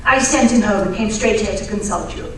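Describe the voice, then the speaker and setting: A woman's voice: mid-range voice, a little fast, a bit bright, close to an everyday voice. Woman acting a genteel lady archaeologist, echoey hall. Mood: explanatory. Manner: genteel, polite, earnest. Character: genteel, eager, titled lady archaeologist, proud and apologetic. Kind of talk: old-time radio drama acting on stage. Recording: very echoey hall, distant mic, faint noise.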